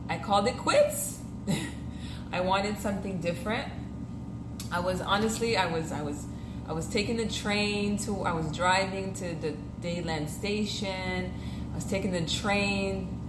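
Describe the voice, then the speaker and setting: A woman in her thirties from Haiti, French her first language, hosting her own podcast in a chatty, self-correcting monologue full of fillers and restarts. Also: lowish voice. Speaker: podcast host, realtor. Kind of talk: casual personal monologue. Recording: roomy echo with clear background noise.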